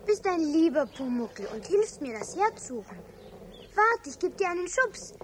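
A little girl with a very high, animated voice. She sounds polite and trusting, in a 1970s radio play with slight noise. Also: moderate pace. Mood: hopeful, coaxing.

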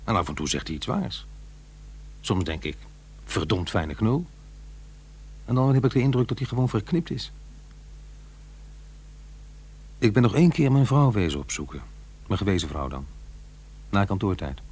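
A man's voice: fairly low pitch, sing-song, moderate pace, long pauses, darkish tone, husky, exaggerated voice. A man playing a troubled, brooding divorced father in a radio drama, earnest and hesitant. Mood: reflective. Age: middle-aged.

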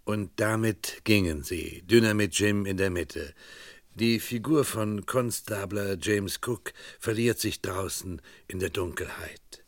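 An actor playing the wry storyteller of a 1970s radio crime comedy, narrating with measured irony straight to the listener. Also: male voice, fairly low pitch, dry recording, clean studio sound. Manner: measured, ironic. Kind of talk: scripted radio play narration.

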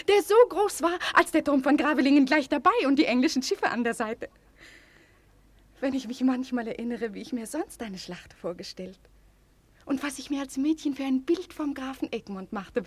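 High-voiced, husky young townswoman. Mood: dreamy, wistful. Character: lively lovestruck young townswoman, dreamy and playful. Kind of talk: 1940s German radio drama acting. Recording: old 1940s radio recording, slightly muffled.

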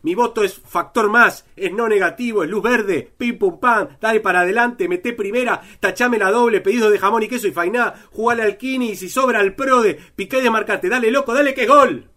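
A high-pitched Argentine congressman, played by a man, holding forth in a parody parliamentary speech. He is pompous and declamatory, with theatrical fervour that suits broad political satire. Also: a little fast, hardly any pauses, sharp tone. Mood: manic cheering. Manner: declamatory, solemn, building into slangy rattled exhortation. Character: pompous male congressman giving a speech, indignant then absurdly eager.

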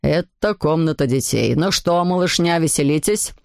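A woman's voice: deep voice, lively intonation, moderate pace, vocal fry, darkish tone, no echo, studio quality, voice pushed down. A woman voicing a jovial, easygoing father in a storybook, with a hearty manner.

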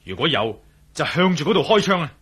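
An actor playing a cool-headed, daring adventurer in a radio drama, confident and wry, with a Hong Kong Cantonese accent. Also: male voice, fairly high pitch, very animated, fairly quick, a bit bright, slightly rough, heavily acted. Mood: calm, commanding.

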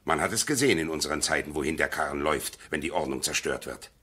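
Casting: sententious male go-between, bass-deep and rough-edged, preachy, seventies radio play. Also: quick pace, bright, forceful tone, heavily acted. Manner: sententious, preachy, emphatic phrasing. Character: fussy, moralizing male family go-between. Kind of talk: 1970s German radio play acting.

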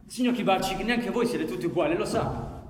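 Actor as high-pitched, insistent police commissioner on stage. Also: male voice, normal speed, close to an everyday voice. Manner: formal, persuasive, declamatory. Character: earnest, insistent male police commissioner, courteous but firm. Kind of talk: theatrical stage acting. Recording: echoey theatre stage with faint noise.

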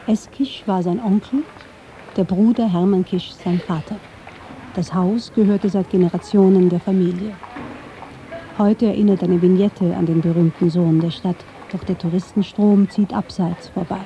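A woman reading scripted narration for a radio feature in a measured, literary way. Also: fairly low pitch, fairly even intonation, dark tone, muffled recording, a little hiss or hum. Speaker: documentary narrator.

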